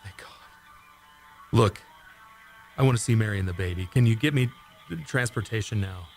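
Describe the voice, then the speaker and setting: A man's voice: on the low side, moderate pace, soft background music, lightly acted. A man acting a shaken, exhausted test pilot in an amateur radio play. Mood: shaken, pleading.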